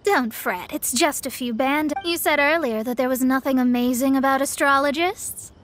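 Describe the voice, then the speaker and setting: A woman playing a proud, sharp-tongued young astrologer in a video game, with imperious, formal diction. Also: sits high, normal speed, background noise, close to an everyday voice. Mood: smug.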